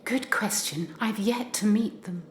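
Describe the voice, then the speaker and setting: A woman's voice: mid-range voice, unhurried, a little hiss or hum, natural voice. A woman playing an anxious, curious new governess in an audio drama, earnest and questioning. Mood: hesitant. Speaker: amateur actor. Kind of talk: community audio drama acting.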